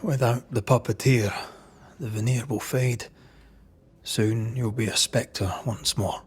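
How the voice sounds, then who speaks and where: An actor playing a solemn ghost hunter in a video game, deliberate and authoritative as he interrogates and passes judgement. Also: medium pitch, fairly even intonation, breathy edge. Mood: stern verdict. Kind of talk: dramatic video game acting.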